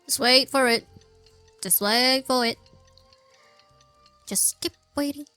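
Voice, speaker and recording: High-voiced woman chatting through a game. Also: slow, bright, forceful tone, clear, resonant tone. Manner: muttering to self, repeating phrases. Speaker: gaming streamer. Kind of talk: casual gameplay commentary. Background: game music.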